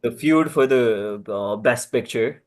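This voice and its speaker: A man chatting casually about films in a group, halting and often repeating his words. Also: medium pitch. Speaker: table read participant.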